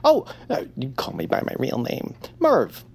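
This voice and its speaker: An actor playing a timid, fussy, self-deprecating radio hero in a comedy audio drama, halting and apologetic. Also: male voice, mid-range voice, huge pitch swings, gravelly, darkish tone, husky, full character voice. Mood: sheepish, apologetic. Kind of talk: audio fiction comedy acting.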